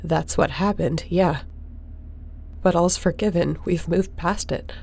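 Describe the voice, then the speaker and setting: A tough, defiant space captain, acted by a woman, with a fairly low, husky voice. She is blunt and confrontational, a sharpshooting heroine of a sci-fi audio drama. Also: slow pace, a little mellow, heavily acted. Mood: defiant, wary. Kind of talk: audio roleplay acting.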